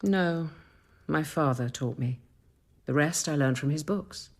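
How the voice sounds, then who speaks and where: Low, husky, patient nun. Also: female voice. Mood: gentle, measured. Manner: measured, gently instructive. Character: patient, devout middle-aged convent nun and apothecary. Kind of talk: radio drama acting.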